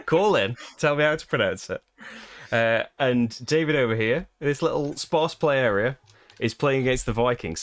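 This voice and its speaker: A man's voice: medium pitch, a bit bright, dry recording. A British man hosting a live board game playthrough, chatting casually and laughing often at his own expense. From Britain. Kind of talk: casual live-stream chat.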